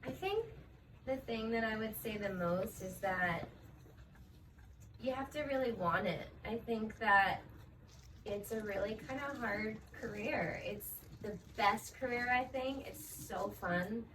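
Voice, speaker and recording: A woman's voice: on the high side, unhurried. Chatty woman heard from a distance. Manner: chatty, frequent fillers like "like" and "um". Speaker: professional ballet dancer answering interview questions. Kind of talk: casual interview answers. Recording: distant mic with steady background noise.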